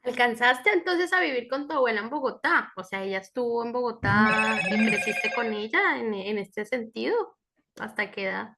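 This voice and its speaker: A woman's voice: on the high side, normal speed, gravelly. A woman hosting an interview, introducing her guest and asking questions in an affirming way. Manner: warm, affirming, asks questions with tag "¿no?". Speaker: interview host.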